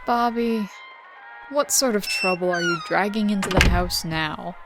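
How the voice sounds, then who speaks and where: A woman playing a composed, caring wife and former nurse cat in an audio drama, gently reproving in manner. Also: mid-range voice, measured pace, loud music behind the voice, natural voice.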